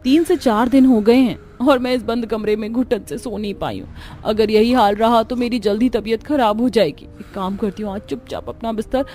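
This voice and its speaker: A woman's voice: fairly high pitch, moderate pace, warm tone, close to an everyday voice. Lady doing a shy young daughter-in-law from a cartoon, hesitant and evasive, faint music under it. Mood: meek, troubled. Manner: hesitant, stammering when questioned. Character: young new daughter-in-law, timid, secretive, dutiful.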